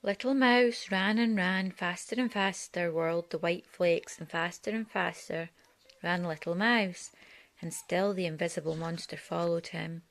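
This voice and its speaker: A woman's voice: lowish voice, fairly even intonation, measured pace. A woman narrating a children's picture book aloud as a storyteller.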